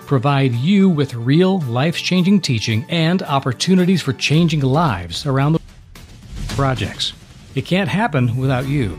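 Earnest male radio host, faint music. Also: medium pitch. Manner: measured, earnest delivery. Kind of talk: dramatic story reading and radio talk.

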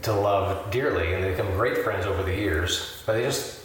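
Lowish guy host, reflective, sounds far away w/ big echo and noise. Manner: measured, reflective. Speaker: radio ministry host. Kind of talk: reflective talk. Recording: very echoey, distant, noisy.

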